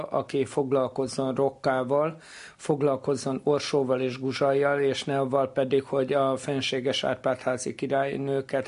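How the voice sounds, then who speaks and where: A man's voice: mid-range voice, a little mellow. A man, a history teacher explaining things through anecdotes in an interview.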